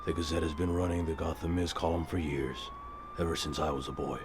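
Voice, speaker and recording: An actor playing a brooding, stoic vigilante hero in a comic-book audio drama, speaking in a grave, measured, deadpan way. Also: male voice, low voice, background noise. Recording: music behind the voice.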